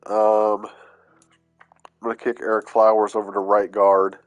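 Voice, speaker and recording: A man's voice: fairly low pitch, monotone, slow, highs cut off, faint music behind. A man with a stuffy, under-the-weather voice giving laid-back, casual commentary over a video game as he plays, thinking aloud with fillers. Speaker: gaming YouTuber.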